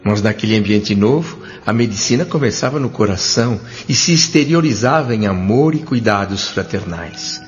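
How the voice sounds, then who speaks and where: A man's voice: lowish voice, expressive, natural voice. An actor with a Brazilian accent playing an earnest, humble male doctor in an audio drama, speaking formally and politely. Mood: reflective, earnest. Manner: formal, polite, measured diction. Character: André, earnest humble male doctor spirit, newly arrived. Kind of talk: audio drama narration and dialogue. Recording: dull lo-fi audio with music bed.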